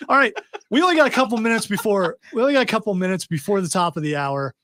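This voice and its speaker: A man's voice: sits high, fast, fairly bright tone. A man hosting a pop culture podcast, rambling with restarts as he steers the talk. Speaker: podcast host. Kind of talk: casual chat.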